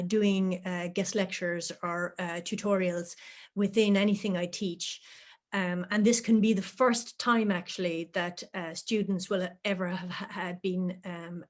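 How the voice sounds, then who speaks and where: A woman lecturer speaks on an online panel. She pauses often and fills the gaps with "um" and "uh" as she thinks. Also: on the low side. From Ireland. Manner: hesitant, many "um" and "uh" fillers. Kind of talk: panel discussion.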